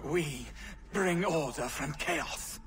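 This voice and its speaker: An actor playing a defiant older Templar in a video game cutscene. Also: male voice, fairly high pitch, slow pace. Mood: defiant, pained.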